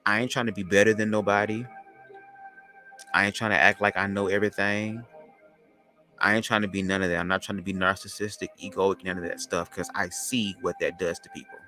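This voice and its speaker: A man with a low, raspy voice, hosting a podcast as a loose, slangy spiritual monologue. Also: little pitch movement, sharp tone, faint music behind. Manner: loose, slangy, drawn-out talk. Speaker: podcast host.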